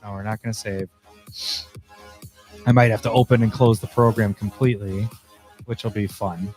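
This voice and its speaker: Slightly hoarse man chatting over faint music. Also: fairly low pitch, fairly even intonation, moderate pace, darkish tone. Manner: chatty, easily annoyed, thinking aloud. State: slightly hoarse, losing his voice. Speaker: host. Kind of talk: casual livestream commentary while editing.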